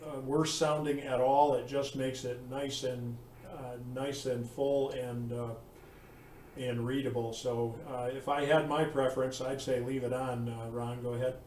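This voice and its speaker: A man chatting casually as an amateur radio operator, speaking haltingly with many pauses. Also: mid-range voice, little pitch movement, darkish tone. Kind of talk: casual ham radio chat. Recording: roomy, distant mic with faint noise.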